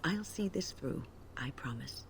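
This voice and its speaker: A weary, gravelly old mage. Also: female voice, rumbling low, animated, measured pace, a little hiss or hum, full character voice. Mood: earnest. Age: older. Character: weary older woman mage, dry and dutiful.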